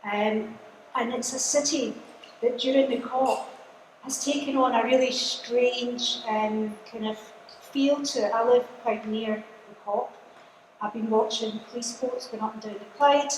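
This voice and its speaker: A woman from a trade union speaks to a rally, relaxed and chatty as she shares her own experiences. Her fairly high voice bounces around a large hall with long reverb and a faint hiss. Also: a little slow. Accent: non-Glaswegian. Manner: conversational, personal storytelling. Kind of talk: political speech. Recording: echoey hall, roomy reverb.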